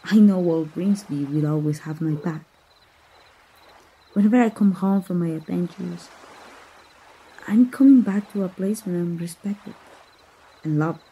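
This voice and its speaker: A woman playing a kind, adventurous young princess in a romantic ASMR roleplay, speaking softly and tenderly with a breathy voice. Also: lowish voice, unhurried, pauses often, full character voice, poor audio. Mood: earnest, proud. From Mexico. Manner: soft, hesitant, tender, intimate delivery. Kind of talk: romantic ASMR roleplay, soft intimate acting.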